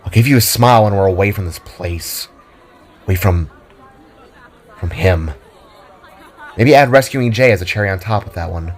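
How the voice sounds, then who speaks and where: A man's voice: sits low, moderate pace, long pauses, a faint crowd, pitched below the performer's own voice. A man voicing a sullen, weary twenty-year-old younger sister with a sulky, hesitant manner in a narrated story. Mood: defiant, bitter.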